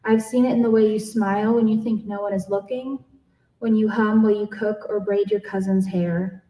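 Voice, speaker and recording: A woman's voice: mid-range voice, steady tone, normal speed, dark tone, close to an everyday voice. A woman voicing a loving, reassuring grandmother in a story reading. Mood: tender, insistent. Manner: tender, reassuring. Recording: echoey room, distant microphone.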